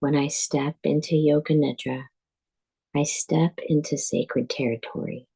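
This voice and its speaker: A woman guiding a yoga nidra meditation as a teacher, speaking calmly and deliberately in measured phrases with pauses. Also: sits low, creaky, breathy edge, poor audio. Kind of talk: guided meditation, scripted instruction.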